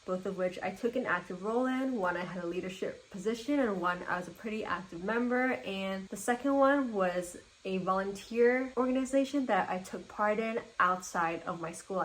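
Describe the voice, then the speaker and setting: A woman's voice: medium pitch, low-quality recording. A young woman in her twenties, a native Mandarin speaker, walking viewers through her resume in an upbeat, orderly vlog. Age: 20s. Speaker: vlogger.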